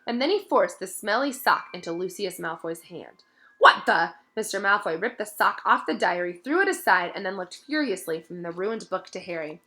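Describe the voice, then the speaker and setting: A woman reading a storybook aloud as narrator, with expressive delivery. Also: lowish voice, brisk, few pauses.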